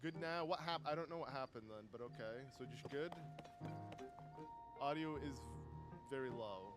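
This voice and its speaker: A man's voice: mid-range voice, moderate pace, music in the background. A young man casually commenting on a live game stream, rambling and correcting himself with little chuckles into a desk microphone.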